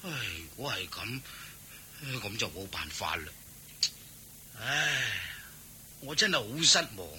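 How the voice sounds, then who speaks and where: An actor playing a devout, easily swayed household master in a Cantonese radio drama, sighing and exclaiming readily. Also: male voice, fairly low pitch, big pitch swings, unhurried, pauses often, pushed and bright, slight background noise, exaggerated voice. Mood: disappointed.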